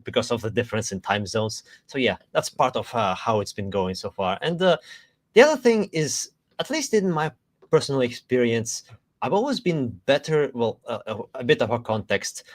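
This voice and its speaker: A man's voice: medium pitch, moderate pace, no room sound. A man co-hosting a casual podcast chat who talks hesitantly with many fillers and restarts. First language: not Spanish; Spanish is his third language. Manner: hesitant, filler-heavy, relaxed. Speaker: chief marketing officer, co-host.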